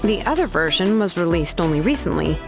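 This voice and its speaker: Laid-back young woman, lively intonation. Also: fairly low pitch, brisk, over music. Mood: matter-of-fact. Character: young woman, laid-back, practical friend explaining things patiently. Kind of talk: anime video game voice acting.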